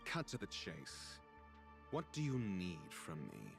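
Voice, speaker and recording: Arrogant male scholar, slow deliberate delivery, sardonic, dry, game dialogue, music in background. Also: low voice, wildly swooping pitch, vocal fry, heavily acted. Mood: disdainful. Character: haughty, sardonic male scholar, coolly disdainful. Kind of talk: scripted video game dialogue. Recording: music behind the voice.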